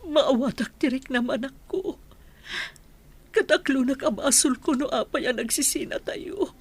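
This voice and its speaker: Woman acting a high-voiced weeping mother. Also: lively intonation, measured pace, slightly rough, full character voice. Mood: tearful, pleading. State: crying. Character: sorrowful mother pleading with her estranged children. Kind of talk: radio drama acting.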